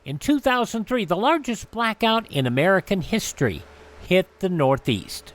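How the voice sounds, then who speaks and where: A man's voice: high-pitched, expressive, normal speed, a bit bright. A man hosting a radio show, reading through an almanac in an announcer-like way with casual asides. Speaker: radio host.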